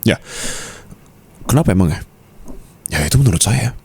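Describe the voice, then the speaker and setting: Man playing a very deep, flirty mafia boss. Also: lively intonation, creaky, a little mellow, husky, exaggerated voice. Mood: flirtatious. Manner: slow, teasing murmur, mixing in English phrases. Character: possessive, teasing mafia boss husband, smooth and flirtatious. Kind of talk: intimate ASMR roleplay.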